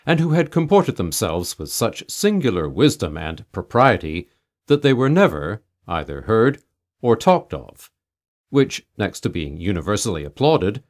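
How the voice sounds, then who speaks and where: Man, lowish voice, lively intonation, audiobook narrator, measured mock-solemn delivery, literary storytelling. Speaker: audiobook reader. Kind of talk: literary narration of a humorous old tale.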